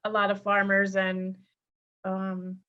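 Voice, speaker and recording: Woman webinar host on a video call, halting questions, repeats words, dull lo-fi sound. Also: medium pitch, monotone, a little hiss or hum. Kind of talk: webinar Q&A moderating.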